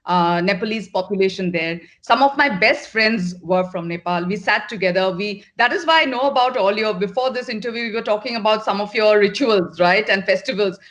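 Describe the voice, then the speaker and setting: A woman's voice: medium pitch, a little fast, hardly any pauses, a bit bright. A middle-aged woman from Northeast India, a managing partner at an agency, answering interview questions in an earnest, direct way, often repeating words for emphasis.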